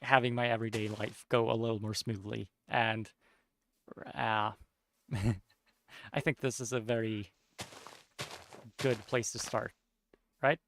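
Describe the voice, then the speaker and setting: A young man with a Norwegian accent, chatting casually while streaming a game, somewhat monotone and mumbling with unclear diction. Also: medium pitch. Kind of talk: casual chat while gaming.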